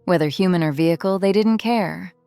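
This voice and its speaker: A woman playing a haunted, protective former street criminal in a drama, speaking in an earnest, halting, confessional way. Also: fairly low pitch, dark tone, no room sound, soft background music, lightly acted. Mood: grave, halting. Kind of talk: dramatic audio drama acting.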